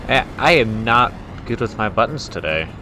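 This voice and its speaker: Low-voiced gamer man, lively swooping pitch. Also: moderate pace, background noise. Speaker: gamer recording a playthrough. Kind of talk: casual gameplay commentary. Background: loud game music and aircraft sounds.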